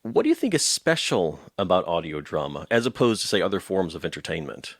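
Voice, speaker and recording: Male interview host, deep voice, conversational, close dry recording. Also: big pitch swings, brisk, no room sound.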